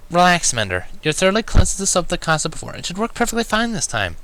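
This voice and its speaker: Man voicing a brainy, high-pitched female unicorn. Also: huge pitch swings, fast talker, bright, forceful tone, heavily acted. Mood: reassuring. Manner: earnest, explanatory. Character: brainy, caring female unicorn, analytical, easily flustered. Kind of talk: fan fiction storybook character voice.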